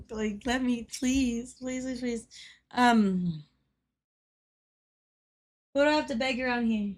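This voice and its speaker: A young woman chats casually while streaming a game, her voice pitched a little high and slightly hoarse. She giggles often and rambles, stringing thoughts together with lots of fillers. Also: takes it slowly, long pauses. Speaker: livestreamer. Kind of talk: casual chat while gaming.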